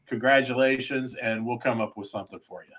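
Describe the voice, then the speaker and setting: Male podcast host, bright tone, loose joking ramble. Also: medium pitch, fairly even intonation, fairly quick. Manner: joking, rambling, stumbles on words. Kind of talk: casual podcast banter.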